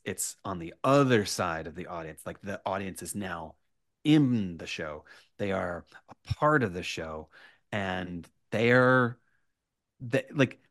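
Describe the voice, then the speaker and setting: A low-pitched man in a dry, close recording, talking as a guest in a conversation. He circles back on his words and stacks short phrases for emphasis, like a performer explaining his craft. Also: dry recording. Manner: repeats words, builds points in short emphatic lists. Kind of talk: animated interview conversation.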